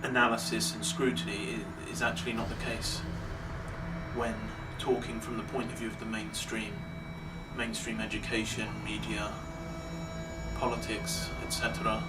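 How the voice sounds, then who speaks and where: A man delivering a deliberate, emphatic monologue of social commentary, pausing between phrases. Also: on the low side, flat delivery, loud music behind the voice. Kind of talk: slow rhetorical monologue. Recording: distant mic, clearly noisy background.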